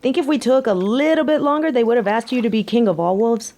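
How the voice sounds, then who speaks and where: A woman's voice: on the high side, fast, warm tone, lightly acted. A woman playing a tough, sardonic but caring young biker girlfriend in a roleplay audio drama, talking in casual slang with a teasing, wry manner. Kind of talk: scripted roleplay audio drama, intimate one-sided conversation. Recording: faint background noise.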